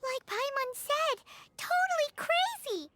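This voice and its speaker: Very high, squeaky little fairy girl. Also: expressive, takes it slowly, pushed and bright, dry recording, exaggerated voice. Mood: excited. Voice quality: squeaky, childlike. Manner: refers to self in third person, exclamatory. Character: tiny excitable childlike floating fairy companion girl, cheeky. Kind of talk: English video game voice acting.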